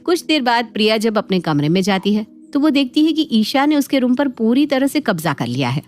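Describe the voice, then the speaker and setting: Female cartoon storyteller over soft music. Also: fairly high pitch, big pitch swings, fairly quick. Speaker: narrator. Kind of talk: scripted cartoon story narration. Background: faint background music.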